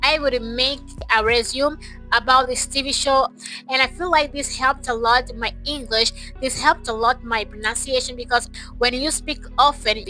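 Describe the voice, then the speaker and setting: A woman speaks in a high, very bright voice. She talks casually to her viewers in a motivational, emphatic way, often repeating phrases to stress them. Music plays softly behind her. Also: rough recording. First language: Portuguese. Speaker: English-learning YouTuber. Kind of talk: casual motivational talk to camera.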